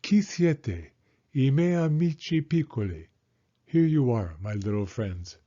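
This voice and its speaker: A man voicing a kindly old woman, a gentle, orderly storybook gardener who teaches. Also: mid-range voice, animated, a little slow, dull audio. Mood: gentle, instructive.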